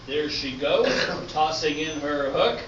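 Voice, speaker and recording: A man's voice: fairly high pitch, lively intonation, slow pace, recorded from a distance. A man playing a showy, patient game show host in an amateur radio play, speaking like an announcer. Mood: announcing. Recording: noisy, echoey, muffled stage recording from afar.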